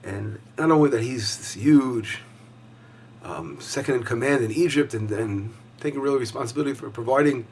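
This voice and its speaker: A hoarse man teaching a weekly Torah lesson as a rabbi, talking conversationally with frequent fillers and restarts. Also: medium pitch, moderate pace, a little mellow, slight background noise.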